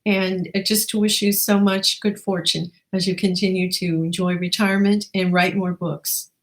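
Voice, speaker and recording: A woman's voice: medium pitch, little pitch movement, moderate pace. Woman hosting a conversational interview. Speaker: interview show host.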